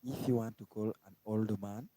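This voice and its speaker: A man from Kenya with a Kenyan accent, giving a casual language lesson and slowly repeating each word. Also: fairly low pitch, steady tone, warm tone, no echo, poor audio. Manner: slow, repetitive, spells out each word twice. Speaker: language teacher. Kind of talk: informal live-stream language lesson.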